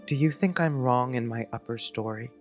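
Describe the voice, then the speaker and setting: A man's voice: medium pitch, moderate pace, faint music behind, close to an everyday voice. A man playing an earnest, polite, precocious young schoolboy in an audiobook, speaking in careful old-fashioned phrases. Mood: worried, confiding. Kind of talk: audiobook character acting.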